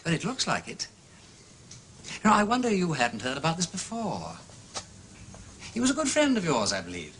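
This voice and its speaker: An actor playing a breezy, talkative aristocratic gentleman sleuth in a radio drama, with an upper-class English accent and an effusive manner. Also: on the high side, huge pitch swings, normal speed, pauses often, a little hiss or hum. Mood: grave, sympathetic. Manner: effusive, affectedly jolly and chatty.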